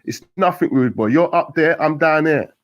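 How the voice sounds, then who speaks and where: A man's voice: sits low, level tone, quick pace, breathy edge, no room sound, lo-fi sound. Man doing a cocky young hustler. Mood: shouting. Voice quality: streetwise slang, cool and laid-back. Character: young male London street hustler, cocky.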